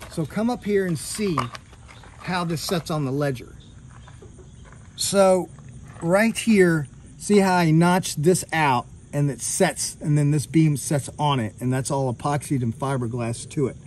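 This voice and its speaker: A man's voice: fairly high pitch, unhurried, slight background noise. A middle-aged man in a casual how-to style, explaining his boat repair step by step while recording outdoors at close range in a boatyard. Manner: relaxed, explanatory, walking through each step. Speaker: boat refit vlogger. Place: outdoor boatyard under a hull. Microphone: handheld camera at close range.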